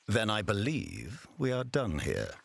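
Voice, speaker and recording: An actor playing an imperious, scornful middle-aged ruler in a fantasy game, with a husky voice and commanding, disdainful delivery. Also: male voice, on the low side, huge pitch swings, slight background noise, exaggerated voice. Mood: cold, stern. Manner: commanding, theatrical, deliberate disdain. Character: imperious ruler lording over his commanders, scornful and cold. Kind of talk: dramatic fantasy game acting.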